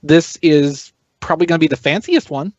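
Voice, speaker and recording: Slightly high-voiced man, dry close sound. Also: a little fast, darkish tone, dry recording. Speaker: podcast panelist. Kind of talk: casual hobbyist discussion. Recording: dry, slightly dull audio.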